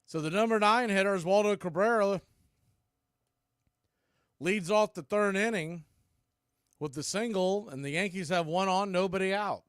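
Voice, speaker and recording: American guy doing radio baseball play by play, kinda high voice, short punchy calls, dry close mic. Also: moderate pace, long pauses, clean tone, no echo. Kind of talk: live radio play-by-play commentary, mixed with fan chat.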